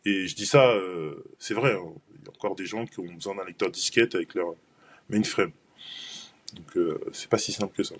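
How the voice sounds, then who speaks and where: A man talks shop in a loose, chatty webinar style, piling up fillers and restarting sentences. His voice sits on the low side. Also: moderate pace, husky. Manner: rambling, informal, many fillers and restarts. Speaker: cloud benchmark analyst presenting a webinar. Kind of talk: casual webinar talk.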